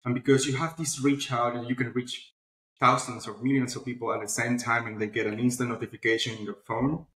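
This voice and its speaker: A man's voice: mid-range voice, flat delivery, fairly quick, a bit bright. Echoey male interview guest. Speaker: interview guest, publishing platform founder. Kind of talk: conversational interview answers. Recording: echoey room sound.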